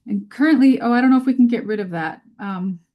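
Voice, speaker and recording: A woman's voice: a little high, fast, muffled recording. A woman presenting a scientific webinar over a video call in an informal, conversational way, often hesitant as she thinks aloud.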